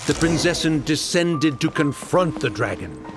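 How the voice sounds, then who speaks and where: Dramatic, animated fantasy storyteller. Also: male voice, on the high side, a little slow, music in the background. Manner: solemn, dramatic. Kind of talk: scripted storybook narration in a game.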